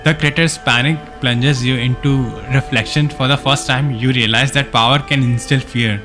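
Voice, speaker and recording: A man with a mid-pitched voice reads game story text aloud for a live stream, with music playing behind him. He drops in casual asides and sometimes trips over a word, then corrects himself. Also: fairly even intonation, normal speed, a bit bright. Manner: stumbles over words and corrects himself while reading.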